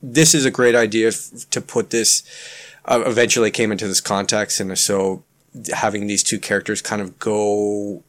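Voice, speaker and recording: A man from Canada whose first language was Ukrainian, a guest author talking in a halting, filler-heavy way on a podcast. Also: fairly low pitch, little pitch movement. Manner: halting, many fillers and restarts. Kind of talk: casual podcast conversation.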